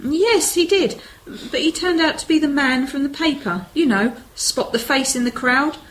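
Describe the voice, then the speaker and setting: A woman's voice: high voice, moderate pace, fairly bright tone, rough recording. A woman playing a polite, anxious and inquisitive wife in a radio play, with a hesitant, courteous manner. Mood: concerned, inquisitive. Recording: clear background noise.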